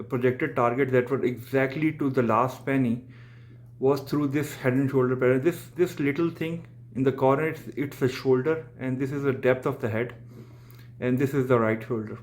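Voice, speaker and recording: A man's voice: medium pitch, steady tone, normal speed, a little hiss or hum. A young man whose first language is Urdu, walking through trading charts on a screen share in English, with many fillers and restarts. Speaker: crypto trading channel host.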